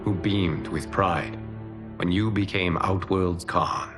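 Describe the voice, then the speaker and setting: An actor playing a calm, wise male keeper of time in a video game cutscene, speaking in a measured, reassuring way. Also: deep voice, over music.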